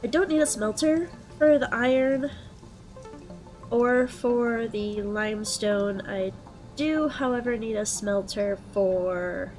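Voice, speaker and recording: A young woman with a blocked, congested nose talks unhurriedly over her gameplay. She thinks aloud and fills her pauses with ums. Soft music plays faintly behind her. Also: a little high. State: congested with a head cold. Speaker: let's play host. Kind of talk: casual gameplay commentary.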